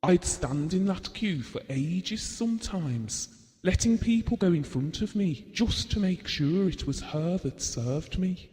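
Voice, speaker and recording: An actor playing a shy, devoted husband in an audio drama, speaking earnestly and nicely. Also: male voice, high-pitched, lively intonation, unhurried, mellow, in a large hall, low-quality recording. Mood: fond, wistful. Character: shy, devoted, well-spoken husband reminiscing about his marriage.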